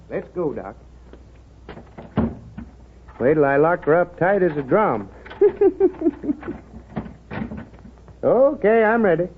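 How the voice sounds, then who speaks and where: An actor playing a folksy, grumbling small-town drugstore keeper with a rural American accent in 1930s radio drama style. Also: male voice, high voice, slight background noise, exaggerated voice. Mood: breezy. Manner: rustic, colloquial, plain-spoken. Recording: tinny, narrow-band 1930s radio recording.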